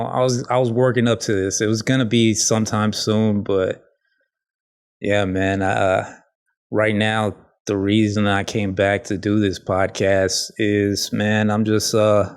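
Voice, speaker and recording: A man hosting a casual solo podcast, talking in a halting, rambling, informal way with a slight lisp. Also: lowish voice, vocal fry. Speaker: podcast host.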